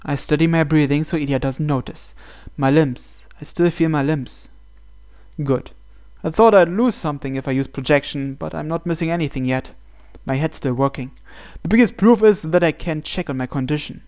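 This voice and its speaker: A man's voice: on the high side, normal speed, clean tone. A man hosting a gaming video, reading visual novel narration aloud. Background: soft game music.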